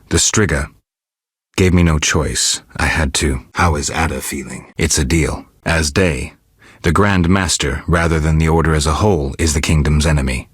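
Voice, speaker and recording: A world-weary swordsman who hunts monsters in a fantasy video game. His voice is extremely deep and heavily rasped, recorded close and dry. He speaks in short, understated, deadpan phrases, gruff and stoic. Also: dry recording. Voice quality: very deep, gravelly, husky rasp. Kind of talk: video game dialogue acting.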